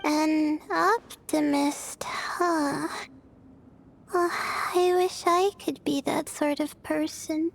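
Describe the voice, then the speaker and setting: A woman voicing a gentle, self-doubting young female student in a video game, hesitant and apologetic. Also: high voice, fairly even intonation, very slow, gravelly, slight background noise, heavily acted. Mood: wistful. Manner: hesitant, apologetic, trailing and stammering. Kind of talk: English video game voice acting. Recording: faint music behind the voice.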